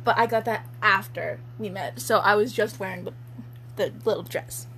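A woman's voice: medium pitch, normal speed, fairly bright tone, slight background noise, low-quality recording. A young woman reading amateur fiction aloud in a mock-dramatic way, with giggly, informal joking banter.

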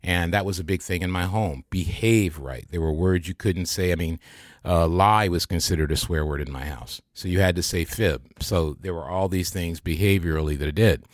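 Casting: older American male podcast host, deep register, dry recording, thoughtful and halting religious talk to a small group. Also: no room sound. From American Midwest.

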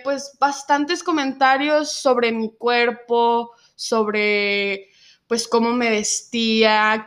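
A nineteen-year-old woman from Mexico with a Mexican Spanish accent, telling her own story in an interview and pausing often on fillers. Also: a little high, a bit bright. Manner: reflective, many filler pauses. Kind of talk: personal testimony in an interview.

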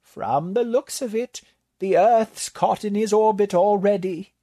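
A man's voice: high-pitched, a little slow, mellow, dry recording, voice pushed up. A man voicing an unflappable alien male librarian in a borrowed body, speaking in a dry, detached way. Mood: deadpan.

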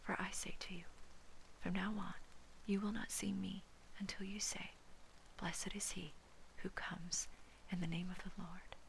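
A woman from Hawaii who whispers throughout, slow and hushed, as she reads Scripture aloud and then comments on it. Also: mid-range voice, flat delivery, vocal fry, slight background noise, rough recording. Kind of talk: whispered Bible reading and commentary.

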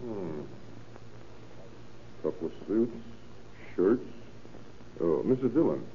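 An actor playing a calm, insistent police detective in an old radio crime drama, coolly polite and probing. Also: male voice, low-pitched, takes it slowly. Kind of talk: 1930s-40s radio crime drama acting. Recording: old tinny radio recording with noise.

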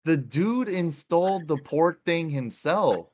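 A relaxed man in his thirties, from Hawaii, talking as a guest on a podcast. His talk is loose and friendly, with "dude" and "man" all through it. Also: a little high, slow pace. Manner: laid-back, keeps calling people "dude". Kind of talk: casual chat.

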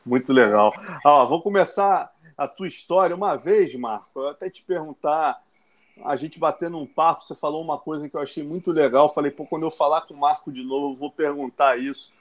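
Brazilian guy hosting, journalist, chatty questions, slightly high voice. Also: fairly quick. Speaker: journalist host. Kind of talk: interview.

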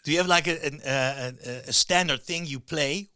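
A man with a raspy voice interviewing a guest, agreeable and hesitant in phrasing. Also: fairly high pitch, normal speed, pushed and bright. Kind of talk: casual interview chat.

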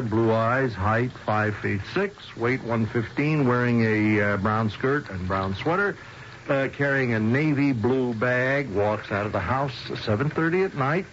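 An actor playing a skeptical, exasperated police lieutenant in a radio mystery, stammering and sarcastic. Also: male voice, mid-range voice, a bit bright. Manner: flustered, hesitant stammering, sarcastic. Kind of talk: old-time radio mystery drama acting. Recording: dull, noisy old radio broadcast recording.

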